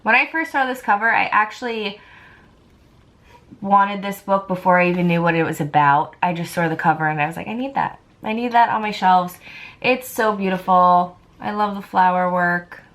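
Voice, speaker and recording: An enthusiastic woman talks casually to her viewers in the style of a book vlogger. Her delivery is chatty and informal, with an average pitch for a woman. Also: normal speed, fairly bright tone.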